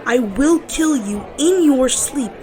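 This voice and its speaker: A woman playing a folksy, bossy, gossip-hungry wife, exclamatory and sharp-tongued, in an audio drama. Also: high voice, animated, slow pace. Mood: threatening. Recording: busy crowd chatter behind.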